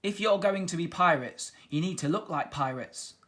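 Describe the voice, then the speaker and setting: Storybook pirate captain, old and blustering, high squeaky sobbing tone, strained and odd-sounding, put on by a man. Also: normal speed, fairly bright tone, natural voice. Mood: hearty.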